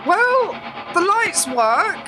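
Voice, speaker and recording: Actress as impatient teenage-style granddaughter, very high voice, lively swings, dry and questioning, radio drama. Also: female voice, extremely slow, full character voice. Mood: sceptical. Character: sceptical, impatient young granddaughter, a student. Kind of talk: radio play acting.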